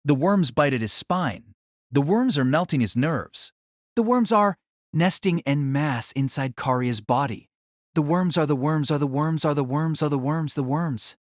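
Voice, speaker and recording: A man's voice: fairly high pitch, animated, measured pace, no room sound, clean studio sound. A man narrating an audio drama in a dramatic, scripted style that rises and falls with the action.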